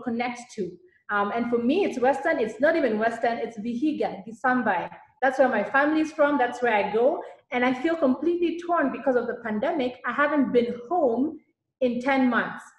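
A fairly high-voiced woman from Kenya talks through slides on a panel with earnest, conversational warmth, often stumbling and restarting her words. Her voice rings in a roomy, echoey space. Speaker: architect and lecturer, panelist. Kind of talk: conference panel presentation.

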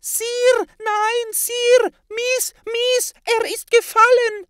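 A narrator voicing a frantic, alarmed little creature with a squeaky voice in a fantasy audiobook. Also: little pitch movement, drawn-out words, a bit bright, dry recording, studio quality, exaggerated voice. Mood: panicked.

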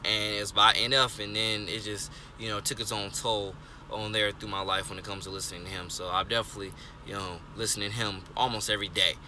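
A man's voice: on the low side, flat delivery, brisk, sharp tone, slight background noise. A twenty-year-old man talking earnestly to his phone from inside a parked car, rambling with lots of fillers and restarts. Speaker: vlogger. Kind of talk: rambling casual monologue. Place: parked car, indoors of vehicle. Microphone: phone held close, selfie framing.

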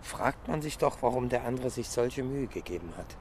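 An actor playing a precise, fact-loving police detective in a radio crime drama, with a matter-of-fact, pedantic manner. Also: male voice, mid-range voice, lively intonation, moderate pace, a little mellow, slight background noise, natural voice. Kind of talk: naturalistic German radio crime drama acting.

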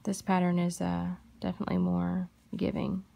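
A woman hosting a crochet tutorial, talking softly and unhurriedly in a gravelly voice, having just woken up. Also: on the low side, completely flat delivery. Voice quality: gravelly morning voice. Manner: soft-spoken, unhurried with fillers. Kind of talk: casual tutorial chat while crocheting.

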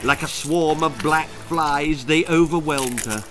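A man's voice: fairly high pitch, a little slow. A man in his sixties reading a children's story dramatically. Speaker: author reading his own stories. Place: small book-lined room at home.

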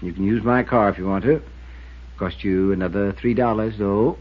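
A man's voice: fairly low pitch, moderate pace, lightly acted. A man acting as a laconic, wry older small-town constable in a 1950s radio drama, with a folksy, drawling rural American accent. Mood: dry, folksy. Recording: muffled, noisy 1950s radio recording.

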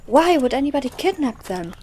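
A woman's voice: high voice, sing-song, fairly quick, a little mellow, slight background noise. A woman giving a scripted, dramatic narration as the storyteller of an audio drama.

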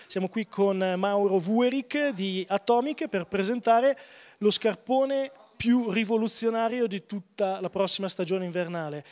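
A middle-aged male host interviews a brand representative about ski boots, presenting in a promotional tone with a handheld mic. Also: sits high. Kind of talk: product interview. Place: indoor ski test booth, wooden backdrop.

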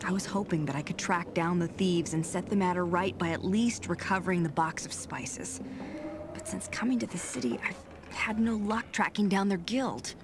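A woman's voice: fairly low pitch, normal speed, close to an everyday voice. A woman voicing a dejected, stoic, hardened caravan guard in a fantasy video game. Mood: weary, dejected. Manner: weary, stoic. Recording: noisy game capture with ambience.

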